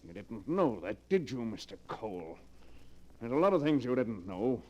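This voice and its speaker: A muffled old radio recording carries a domineering millionaire villain. His voice is dark and mid-pitched, his delivery imperious and theatrical in the 1940s melodrama manner. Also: very animated, moderate pace. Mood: gloating, menacing. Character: ruthless, domineering middle-aged millionaire businessman turned killer.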